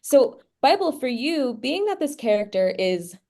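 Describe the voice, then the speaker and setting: A woman's voice: high voice, sing-song, measured pace, a little mellow. A woman interviewer asking questions in a casual, upbeat and encouraging manner.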